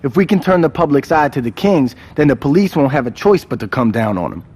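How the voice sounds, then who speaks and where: An actor playing a cautious, level-headed gang strategist in a video game cutscene, exasperated and no-nonsense. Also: male voice, on the high side, very animated, fast, a little mellow, slightly rough. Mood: firm, persuasive.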